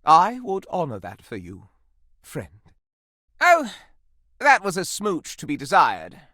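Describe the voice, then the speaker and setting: A pompous, amorous antique candelabra from a video game. The voice is theatrical and florid, leaping wildly from high swoons down to low purrs. It lingers slowly over phrases, savoring every hint of scandal, in a close, dry recording. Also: male voice, huge pitch swings, bright, forceful tone, no room sound, exaggerated voice. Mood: tender, then dissatisfied, coaxing. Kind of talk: video game character acting.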